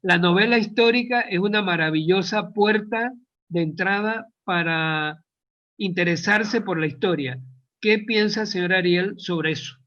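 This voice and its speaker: A man's voice: sits high, measured pace, sharp tone. A man interviewing a guest in a courteous, conversational way as a presenter, heard on lo-fi call audio. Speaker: interviewer, book fair presenter. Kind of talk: conversational interview, reading audience comments.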